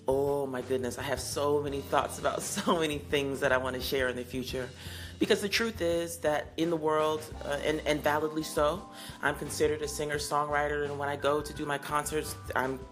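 A woman in her forties hosting her own podcast, answering listener messages in an earnest, unhurried, affirming way with a low, husky morning voice. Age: 40s. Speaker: singer-songwriter hosting a call-in podcast.

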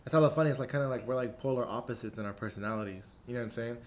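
A young man hosts a podcast in an easygoing, slangy way, leaning on "like" and "you know what I mean". His voice sits in an ordinary middle pitch. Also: very fast. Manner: laid-back slangy talk, lots of "like" and "you know". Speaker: podcast host. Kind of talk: casual podcast chat.

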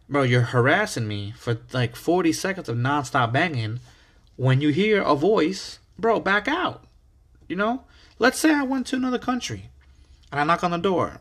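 A young American man hosting his own podcast, telling a story in a casual, animated way and swearing freely. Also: medium pitch. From United States. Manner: animated, profane, with sudden loud outbursts. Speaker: podcast host.

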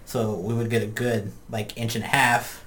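A man's voice: on the low side, level tone, normal speed, a bit bright, slight background noise. A man talking casually as a panelist on a group podcast. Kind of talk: casual chat.

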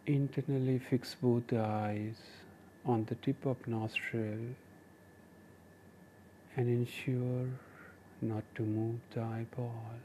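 A man with an Indian accent leading a guided meditation, giving gentle, measured instructions in short phrases with pauses. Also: medium pitch, flat delivery, a little hiss or hum. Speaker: meditation teacher and podcast host.

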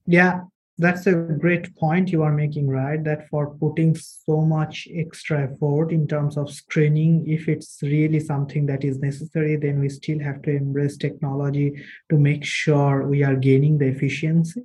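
A man interviews a guest with long, meandering questions broken up by fillers. His voice sits a bit high for a man and stays flat, with little rise and fall. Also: normal speed. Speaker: interviewer, podcast host.

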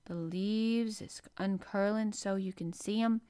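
Woman putting on a happy yorkshire farm boy, slow broad drawl. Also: mid-range voice, fairly even intonation, dark tone, clear, resonant tone, close to an everyday voice. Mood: enthusiastic. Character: cheerful Yorkshire country boy.

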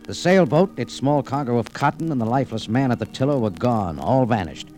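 A hard-boiled American man played by a radio actor with a medium-pitched voice and clipped, sardonic delivery. He sounds like the tough hero of a 1940s radio noir, on an old recording with some noise and clicks. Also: lively intonation, brisk, darkish tone, natural voice. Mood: tense, hard-boiled. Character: tough, wry American cafe owner in Cairo adventure. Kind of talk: 1940s radio noir acting with first-person narration.

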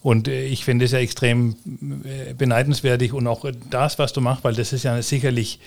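Middle-aged man as host, medium voice, talkative and halting. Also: mid-range voice, little pitch movement, gravelly. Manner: chatty, hesitant, many fillers. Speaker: podcast host. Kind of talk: casual interview talk.

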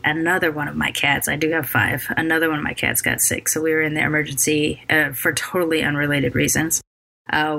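A woman hosting her podcast late at night, tired and with a scratchy voice left over from a cold, talking in a halting way with many ums and restarts. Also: low voice, creaky, pushed and bright. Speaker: podcast host. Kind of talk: casual solo podcast intro.

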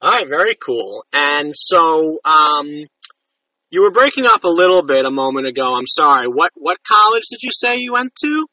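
A man's voice: fairly high pitch, sing-song, normal speed, bright, forceful tone, dry recording, far from the mic, low-quality recording. A man hosting a casual interview, asking follow-up questions with frequent fillers and restarts. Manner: conversational, asks follow-up questions with many fillers. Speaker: interview host.

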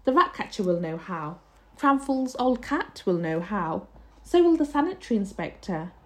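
A woman's voice: medium pitch, big pitch swings, moderate pace, a little mellow, lightly acted. A woman voicing a malicious, triumphant housekeeper in a storybook, with a scornful, threatening manner. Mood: gloating.

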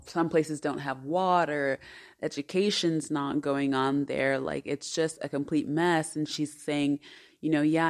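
A woman in her thirties, a podcast host, telling a personal story in an earnest, chatty way. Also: sits low. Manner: chatty and earnest, with many fillers and restarts.

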